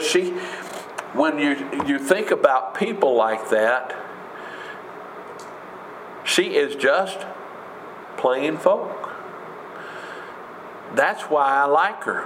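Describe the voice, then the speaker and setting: Man, pastor, booming voice, emphatic sermon, rhetorical questions, reverberant room. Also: background noise. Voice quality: big booming voice. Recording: echoey room with faint ambience.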